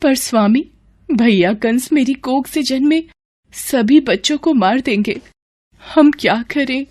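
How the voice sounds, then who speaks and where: A woman's voice: sits high, takes it slowly, a little mellow. Woman acting a pleading, sorrowful young mother. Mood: worried. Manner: melodramatic pleading. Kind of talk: Hindi mythological audio story acting.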